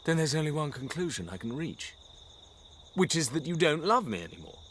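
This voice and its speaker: An actor playing a proud, moralising and possessive husband in a radio drama, speaking in a stern, emphatic way. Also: male voice, on the high side, big pitch swings, fairly quick, a bit bright, a little hiss or hum. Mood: shaken, pleading.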